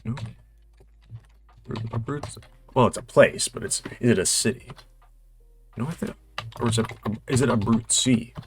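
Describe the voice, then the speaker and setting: A man's voice: medium pitch, moderate pace, pauses often. A North American man playing a geography quiz, thinking aloud casually and muttering questions to himself hesitantly, with music and typing behind him. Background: music, computer keyboard typing.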